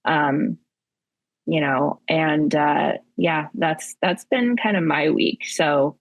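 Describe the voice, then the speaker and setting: A woman podcast co-host and therapist with a raspy, tired tone, talking candidly and haltingly in a casual chat. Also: low voice, no room sound. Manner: candid, halting, many fillers.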